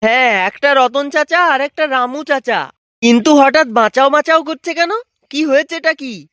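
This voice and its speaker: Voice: high-pitched, normal speed, a bit bright, no echo, clean studio sound. A performer voicing a brash, boastful village club strongman in a comic cartoon, cocky and crude-mouthed. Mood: concerned.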